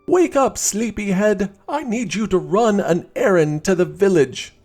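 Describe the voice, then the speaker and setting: A man voicing a doting, warmly bossy mother dragon in a children's storybook, with sing-song coaxing. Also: high-pitched, a little slow, soft background music, pitched above the performer's own voice.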